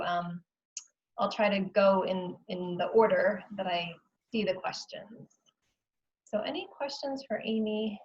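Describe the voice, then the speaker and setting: A woman's voice: mid-range voice, highs cut off. A woman moderating a question-and-answer session on a video call, friendly and a little flustered with the meeting controls. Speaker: career services moderator.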